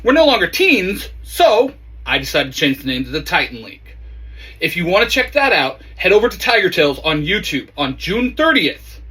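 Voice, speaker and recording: A man with a bright, forceful voice that sits a bit high co-hosts a casual chat about geeky things. He talks haltingly, thinking out loud, and sounds close and dry. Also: sing-song, slightly rough, no echo. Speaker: co-host.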